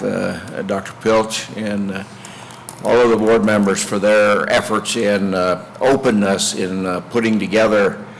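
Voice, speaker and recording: A man with a fairly low voice makes unscripted remarks at a public meeting. He is halting and deliberate, and the room is reverberant with some noise behind him. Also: gravelly. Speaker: mayor.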